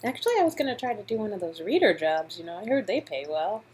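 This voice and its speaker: A woman's voice: medium pitch, big pitch swings, quick pace, a little mellow, husky. A woman co-reading a game script and bantering casually.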